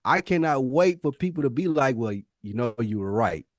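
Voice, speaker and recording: A man's voice: medium pitch, lively intonation, normal speed, dry recording, studio quality. A man co-hosting a podcast in casual, argumentative chat, slangy and emphatic, repeating phrases to press his point. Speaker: podcast co-host.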